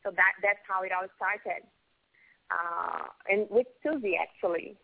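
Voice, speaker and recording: This is a Brazilian woman talking in English, which is not her first language, over a thin long-distance phone line. She speaks as a literary agent, pausing often to find her words. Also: mid-range voice, vocal fry. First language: Portuguese. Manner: apologetic, searching for words. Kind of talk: interview guest. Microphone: phone call.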